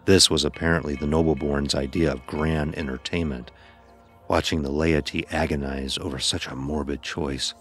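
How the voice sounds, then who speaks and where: A man playing an anguished blind youth, telling his ordeal in grave, dramatic, deliberate first-person horror narration. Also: rumbling low, steady tone, a bit bright, soft background music.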